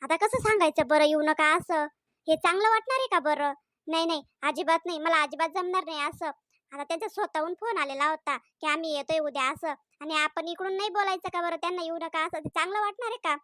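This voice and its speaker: Woman doing a squeaky high cartoon wife, bright and nagging, dry close sound. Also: fast talker, dry recording. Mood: arguing. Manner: chatty, insistent, scolding. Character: young married housewife, quick to take offense. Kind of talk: comedy cartoon voice acting.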